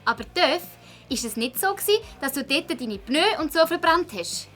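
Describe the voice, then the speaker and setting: Woman as a bright, high-voiced Swiss schoolgirl. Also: lively intonation, moderate pace, soft background music. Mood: accusing. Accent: Swiss German. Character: earnest, indignant schoolgirl detective, cautious. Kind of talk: children's audio drama acting.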